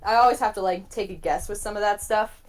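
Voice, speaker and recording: A woman's voice: mid-range voice, fast. A woman chatting casually and informally, with a joking way of chiming in. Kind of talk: casual chat.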